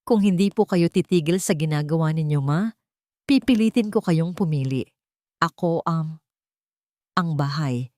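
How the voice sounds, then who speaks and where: A woman's voice: deep voice, unhurried, pauses often, mellow, no echo, studio quality. A woman voicing a hurt, resentful grown son pleading with and pressuring his mother in an audio drama. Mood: angry ultimatum.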